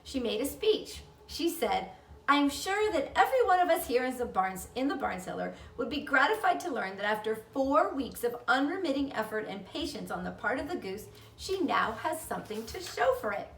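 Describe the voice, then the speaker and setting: Woman voicing a chatty little girl, lively and high. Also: normal speed, vocal fry, roomy sound, far from the mic, exaggerated voice. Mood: earnest. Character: chatty, earnest young girl, fond of barn animals.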